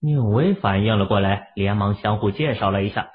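Low-voiced male narrator, tinny audio. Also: a little fast. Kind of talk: scripted dramatic narration of a novel. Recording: tinny, narrow-band phone-like audio.

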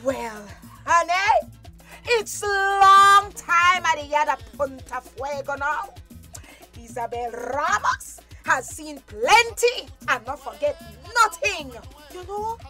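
Brassy, high-pitched Belizean bar woman. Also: expressive, slow, pushed and bright, faint music behind, exaggerated voice. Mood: teasing, brassy. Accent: Belizean Creole. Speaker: radio drama actor. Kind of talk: radio drama acting.

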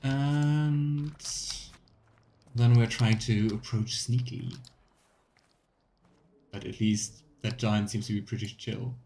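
A man's voice: medium pitch, little pitch movement, slow pace, long pauses, dark tone, a little hiss or hum. A young man giving casual, playful live commentary as he plays a video game, chatting to the game and viewers. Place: small indoor room at home. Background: game music.

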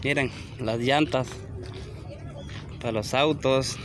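A man's voice: medium pitch, steady tone, slow pace, noisy background. A man casually vlogging a walk through an outdoor flea market, talking straight to his viewers on a handheld phone camera. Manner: chatty, addresses viewers directly, asks for likes. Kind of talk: casual walk-and-talk vlog. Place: outdoor flea market, sunny gravel lot.

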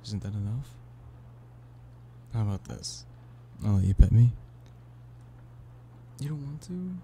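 A man's voice: lowish voice, slow, full character voice. A man playing an affectionate, playful boyfriend in an ASMR roleplay, teasing and pleading in an intimate one-sided conversation. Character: playful, affectionate human boyfriend coaxing a stubborn cat-person. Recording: steady background noise of rain. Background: rain.

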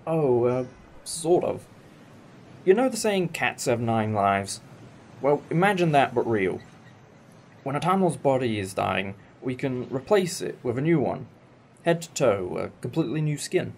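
A man's voice: medium pitch, big pitch swings, unhurried, darkish tone. A man playing a wry, old-fashioned time-travelling alien leader in a fan audio drama, explaining things with some hesitation. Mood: wry, explanatory. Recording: amateur recording with clear background noise.

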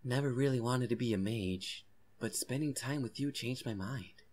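A woman voicing an eager young boy in an earnest, expressive way for a comic fan dub. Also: medium pitch, normal speed, natural voice. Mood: casual, friendly. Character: young boy who befriends a forest girl, eager, defiant.

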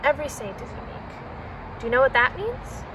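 A young woman reads a children's picture book aloud with a fairly high voice. She is outdoors, some way from the microphone, and clear background noise sits behind her. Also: sing-song, normal speed. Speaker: reader. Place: outdoors in autumn, seated by shrubs. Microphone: camera several meters away. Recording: outdoor, distant mic, noisy background.

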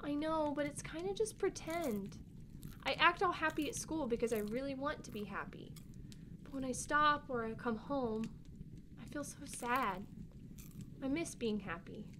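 A woman playing a sensitive, excitable young girl, a grieving little sister, in a family audio drama, with a childlike, openly emotional manner. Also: high-pitched, moderate pace, background noise, lightly acted. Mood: sad, confiding.

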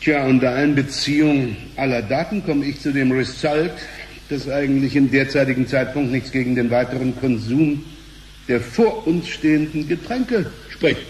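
An actor playing a pedantic business partner in a radio play, fussily precise, with pompous, wordy, formal phrasing. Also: male voice, mid-range voice, normal speed, slight background noise, natural voice, poor audio. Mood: dryly formal. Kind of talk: German radio play acting.

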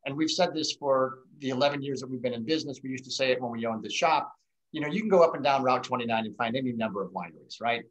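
A man's voice: medium pitch, quick pace, dull audio. A middle-aged American man hosting casually and chatting over a video call. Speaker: host of a virtual wine tasting. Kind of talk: casual chat. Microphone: video call microphone.

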